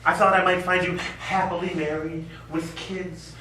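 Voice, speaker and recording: A man's voice: high-pitched, level tone, moderate pace, sharp tone. A man playing a remorseful middle-aged former soldier and old lover in a stage play, earnest, hesitant and apologetic. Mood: wistful, earnest. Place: small theatre stage, recorded from afar. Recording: echoey stage, distant mic, faint noise.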